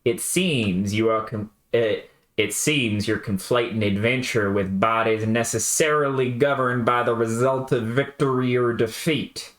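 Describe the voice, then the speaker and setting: A man's voice: mid-range voice, measured pace, hardly any pauses, fairly bright tone, close to an everyday voice. A man reading a story aloud and voicing a smug, condescending male AI program in a Southern US drawl, talking in long, wordy sentences. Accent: Southern US drawl, put on.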